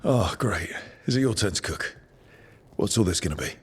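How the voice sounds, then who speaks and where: Actor as an easygoing low-voiced young hero. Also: male voice, expressive, normal speed, lightly acted. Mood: casual, wry. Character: easygoing young male rebel hero leading companions. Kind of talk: game dialogue acting.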